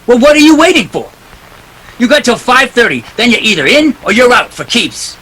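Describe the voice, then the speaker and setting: A man's voice: very high voice, big pitch swings, moderate pace, very noisy. A man playing a tough, wisecracking private detective in a 1940s-style radio drama, clipped, sardonic and impatient. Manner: clipped, hard-boiled, sardonic.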